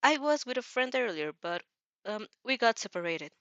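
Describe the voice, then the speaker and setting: A woman's voice: a little high, big pitch swings, moderate pace, fairly bright tone, dry recording, lo-fi sound. A woman voicing a sweet, naive teenage girl in a polite, hesitant manner for a fan fiction reading.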